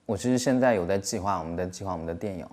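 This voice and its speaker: A man's voice: low-pitched, racing, darkish tone. A young man from China, a comics artist, answering interview questions with hesitant restarts. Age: young adult.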